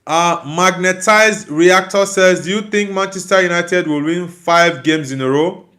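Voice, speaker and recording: Nigerian man with a fairly high voice. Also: a little slow, pushed and bright. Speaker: football livestream host. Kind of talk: casual live chat, reading and answering viewer comments.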